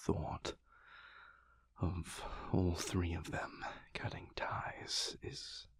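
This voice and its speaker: A man playing a guarded, world-weary vampire in an intimate audio roleplay, speaking formally and measuredly in a breathy, gravelly near-whisper. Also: sits low, flat delivery, exaggerated voice. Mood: guarded, pensive. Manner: formal, measured, clipped, sighing diction.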